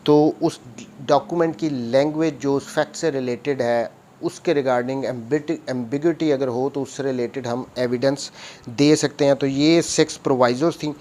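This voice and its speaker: A man's voice: medium pitch, steady tone, normal speed, a little mellow. Pakistani male law lecturer, noisy recording. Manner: didactic, repeats and rephrases points. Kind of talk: explanatory law lecture mixing English legal terms.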